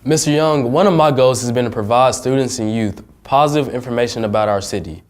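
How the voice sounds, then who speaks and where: A man's voice: mid-range voice, fairly quick. An American man working as an interviewer, delivering scripted introductions and prepared questions. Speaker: interviewer, host.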